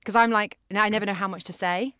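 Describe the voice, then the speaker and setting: A woman hosting a podcast, chatty and giggly, quick to laugh with her guest. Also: medium pitch, fairly even intonation, a bit bright, clean tone, no room sound, studio quality. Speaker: podcast host. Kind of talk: casual podcast chat.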